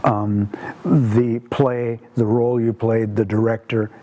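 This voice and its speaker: Thoughtful male interviewee, slow, conversational. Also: mid-range voice, level tone, warm tone. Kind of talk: documentary interview.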